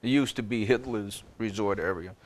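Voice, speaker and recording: An older man hosting a conversational interview, asking friendly but halting questions. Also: lowish voice.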